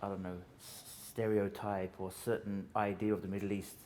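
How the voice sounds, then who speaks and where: A man's voice: lowish voice, little pitch movement, normal speed, a little mellow, a little hiss or hum. A male show host who narrates, then interviews a guest and sums up his answers back to him in a friendly way.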